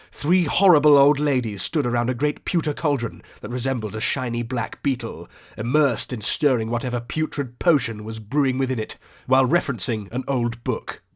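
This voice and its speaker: A man narrating a fantasy audiobook in a theatrical, deliberate, scripted storytelling style. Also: mid-range voice. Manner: theatrical, ornate, deliberate delivery.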